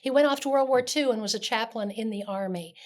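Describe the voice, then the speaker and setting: A woman's voice: fairly high pitch, fast talker. An older woman raised in Dallas, speaking earnestly as a guest in an interview, with frequent restarts. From Connecticut, raised in Dallas, Texas. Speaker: guest, shelter CEO. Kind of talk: conversational interview.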